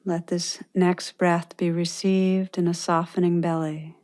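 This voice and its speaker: A woman meditation teacher leading a guided meditation with gentle, unhurried instructions and pauses. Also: lowish voice, flat delivery.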